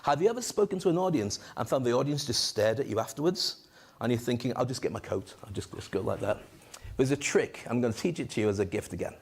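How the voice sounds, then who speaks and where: A man's voice: mid-range voice, fast, husky. A man hosting a conference stage and coaching on public speaking, joking and rousing the audience with a handheld microphone. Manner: jokey, animated, audience-rousing. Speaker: event host and speaking coach. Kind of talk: energetic stage presenting.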